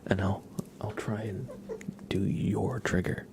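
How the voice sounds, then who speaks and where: Male ASMR streamer, whispery with heavy vocal fry, soft-spoken and unhurried, talking casually close to the mic. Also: fairly low pitch, steady tone. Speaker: ASMR livestream host. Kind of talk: ASMR, casual chat to live viewers.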